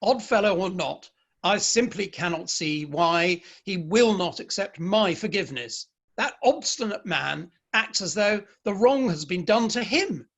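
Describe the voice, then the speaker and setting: A proud, stern Victorian father and man of letters, acted by a man in a play reading. His voice is notably high for a man. He speaks formally and emphatically, with firm opinions. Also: lively intonation, unhurried, creaky, fairly bright tone, full character voice. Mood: indignant, blustering.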